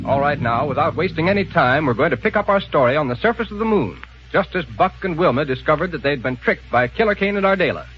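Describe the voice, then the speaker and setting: Animated high-pitched male radio announcer. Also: quick pace. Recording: muffled, very noisy old radio recording.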